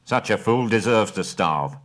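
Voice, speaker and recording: A man's voice: lowish voice, animated, unhurried, fairly bright tone. A man voicing a grim, blunt male leader who speaks bluntly.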